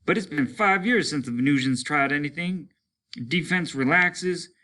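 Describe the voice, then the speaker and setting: A man voicing an excitable, bitterly wry older male spaceman in a story, exclaiming in an animated, breathless way. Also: a little high, moderate pace, pitched above the performer's own voice. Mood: grim, wry.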